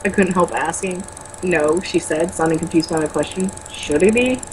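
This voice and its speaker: A woman's voice: lowish voice, moderate pace, a little mellow, a little hiss or hum, low-quality recording. A woman reading a novel aloud as an amateur, tripping over words now and then and breaking off for blunt, mocking, casual commentary. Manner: stumbles over words when reading, blunt and sweary in asides.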